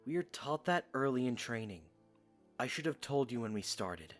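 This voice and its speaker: A man's voice: medium pitch, animated, moderate pace, soft background music, close to an everyday voice. A man playing a loyal young soldier in an audio drama, blunt, protective and earnest. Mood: earnest, encouraging. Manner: earnest, direct.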